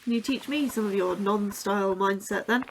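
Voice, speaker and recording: An actress playing an anxious, inquisitive young scientist who keeps pestering a coworker with questions. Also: female voice, medium pitch, normal speed, slight background noise, lightly acted, poor audio.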